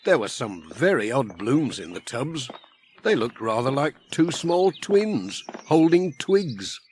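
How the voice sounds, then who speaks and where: A British man reading a children's story at an unhurried pace, with animated intonation. His voice sits slightly high, and there is some background noise on the old audiobook recording. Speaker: audiobook narrator. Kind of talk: scripted children's story narration. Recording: noisy old audiobook transfer.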